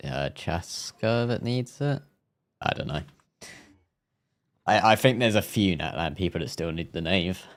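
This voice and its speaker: A man livestreaming a game, giving casual, rambling, somewhat hesitant commentary to his viewers. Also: low voice. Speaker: game streamer.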